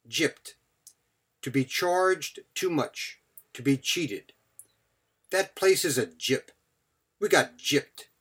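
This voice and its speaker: A man's voice: a little high, husky. A man giving a scripted English vocabulary lesson as a teacher, speaking in short, clipped phrases followed by example sentences.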